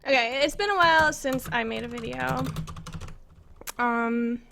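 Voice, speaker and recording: A woman's voice: high voice, a little slow, vocal fry, bright, forceful tone, a little hiss or hum. An American woman chatting casually to viewers on a live stream, rambling in a self-conscious, giggly way. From United States. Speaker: live streamer and game map maker. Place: indoors at a computer in an apartment.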